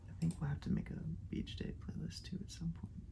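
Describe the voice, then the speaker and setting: An ASMR creator whispering in a breathy, creaky voice, playing a friend casually murmuring while browsing. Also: fairly quick, noisy background.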